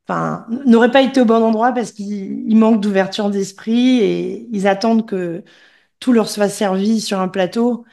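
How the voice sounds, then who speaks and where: A woman's voice: mid-range voice, darkish tone. A woman from Gabon, a podcast host who interviews in an engaged, encouraging, conversational way.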